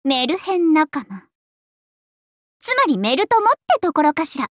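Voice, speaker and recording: Super high girly anime game dragon princess, vain and playful, voice swooping everywhere, dry recording. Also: sing-song, a little slow, fairly bright tone, no echo, full character voice. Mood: haughty, teasing. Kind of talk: Japanese anime game character voice lines.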